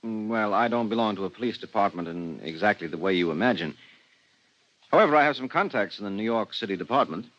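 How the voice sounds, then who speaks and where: An actor playing a guarded, curt police investigator and husband in a 1950s radio drama, speaking bluntly. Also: male voice, fairly low pitch, expressive, quick pace, a bit bright. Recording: dull vintage 1950s radio recording.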